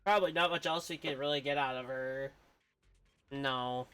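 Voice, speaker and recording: A man's voice: medium pitch, normal speed, sharp tone, clean tone. A man streaming a game, musing aloud in casual live commentary.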